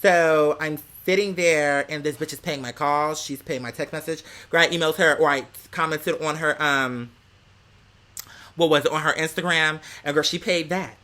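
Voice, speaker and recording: A man's voice: on the high side, fairly even intonation, normal speed, pushed and bright. An American man telling a casual story as a rant to viewers, with a sassy, theatrical, slang-heavy way of talking. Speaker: vlogger.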